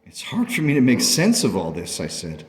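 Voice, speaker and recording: A man playing a puzzled, subdued father who narrates a radio play in a measured, thoughtful way. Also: mid-range voice, huge pitch swings. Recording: echoey hall-like reverb.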